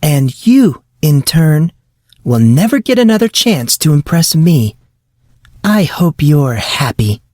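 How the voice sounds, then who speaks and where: A man's voice: on the high side, sing-song, slow pace, darkish tone, dry recording, studio quality, voice pushed up, exaggerated voice. A man voicing a furious, haughty teenage schoolgirl in an audiobook, with indignant, dramatic delivery. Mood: cold, furious.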